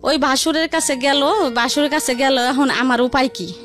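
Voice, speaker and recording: A woman's voice: high voice, steady tone, normal speed, a bit bright, soft background music. A woman telling her life story in a rambling, repetitive, conversational way. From Barisal region, Bangladesh. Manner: rambling, repetitive, colloquial narration.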